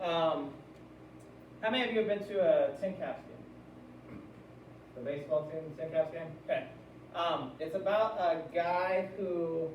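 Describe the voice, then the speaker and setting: Male teacher, American, fairly high voice, explanatory read-aloud style with check-in questions; noisy, reverberant classroom recording. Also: a little mellow. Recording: very echoey room, distant mic, noisy.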